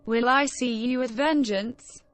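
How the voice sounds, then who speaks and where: A woman's voice: fairly high pitch, measured pace, clear, resonant tone, close to an everyday voice. An actress playing a plain-spoken, questioning female hero in a fantasy game, speaking in short replies. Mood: inquiring.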